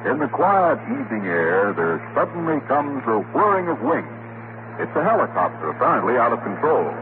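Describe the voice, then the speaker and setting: A man's voice: mid-range voice, big pitch swings, moderate pace, very noisy. A man narrating and announcing in a dramatic, declamatory 1940s radio style, on an old narrow-band broadcast recording.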